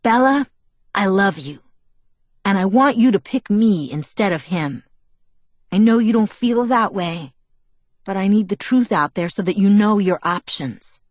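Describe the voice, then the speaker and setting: A woman's voice: mid-range voice, lively intonation, a little slow, darkish tone, no room sound. A woman voicing a stubborn, confident teenage boy in an audiobook reading, speaking in a strong, sure way. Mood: earnest. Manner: strong, sure, insistent delivery.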